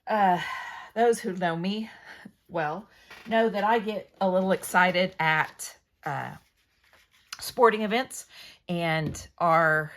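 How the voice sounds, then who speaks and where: A hoarse, middle-aged woman talks through her crafting project in a low voice. Her tone is chatty and meandering, and she often corrects herself mid-sentence. The sound is picked up by a camera above her craft table at home. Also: takes it slowly, pauses often. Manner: chatty, rambling, self-correcting. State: hoarse from yelling at a game. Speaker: scrapbooking channel host. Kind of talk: casual crafting tutorial narration.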